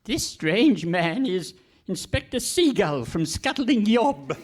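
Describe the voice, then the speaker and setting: A woman's voice: mid-range voice, sing-song, slow pace, darkish tone, breathy edge, heavily acted. A woman playing a dotty, fluttery old spinster in a theatrical comic radio play. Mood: giggly, dotty. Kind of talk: Goon Show comic radio theatre acting. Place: live performance in a hall.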